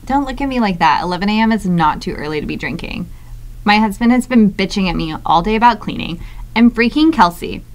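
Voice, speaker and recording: A woman's voice: medium pitch, lively intonation, a little fast, natural voice. A woman playing an exasperated, sarcastic mother drinking by day, in a sketch comedy monologue. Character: bitter day-drinking mother venting under the table.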